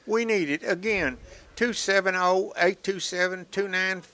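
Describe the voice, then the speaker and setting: A man with a fairly high, bright, forceful voice hosts a live radio telethon. He pitches for donations in a folksy, joking way. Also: measured pace. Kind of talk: live radio fundraising appeal.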